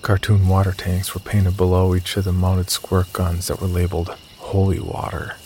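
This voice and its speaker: Deep, gravelly male horror narrator. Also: completely flat delivery, moderate pace, a little mellow, a little hiss or hum. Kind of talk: scripted first-person horror story narration.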